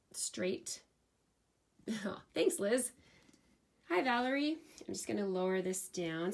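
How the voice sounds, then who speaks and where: A woman's voice: mid-range voice, fairly bright tone, a little hiss or hum. A middle-aged woman from Canada with a head cold and a slightly nasal voice, chatting with live viewers as a stamping demonstrator, greeting people and laughing often. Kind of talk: live craft video chat.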